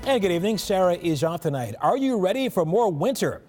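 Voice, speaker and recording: Evening news anchor, male, slightly high register, polished TV news presentation. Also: sing-song, brisk, music in the background.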